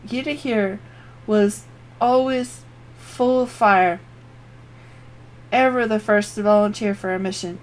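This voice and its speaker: A woman's voice: mid-range voice, a little hiss or hum, close to an everyday voice, low-quality recording. A woman reading a hearty old rebel veteran. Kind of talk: reading game dialogue aloud.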